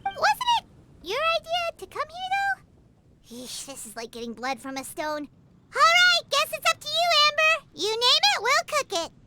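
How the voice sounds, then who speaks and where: A woman's voice: very high voice, huge pitch swings, measured pace, very noisy, heavily acted. A woman voicing a tiny floating fairy girl companion who is chatty and nosy and talks about herself by name. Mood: chirpy. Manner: chirpy, speaks of herself by name. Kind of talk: game voice acting.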